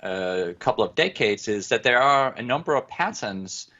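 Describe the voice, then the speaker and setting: Man, Danish accent, academic interview guest, hesitant, lots of fillers, middle pitch, dull lo-fi audio. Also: expressive, moderate pace, sharp tone. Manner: hesitant, many fillers and restarts. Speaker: guest researcher.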